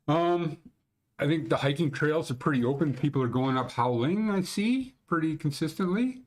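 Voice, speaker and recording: A man's voice: medium pitch, a little mellow. A man in his sixties with a Canadian accent, hosting a casual mountain-conditions show from a small room at home and rambling through it with blunt, crude jokes. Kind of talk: casual screen-share commentary.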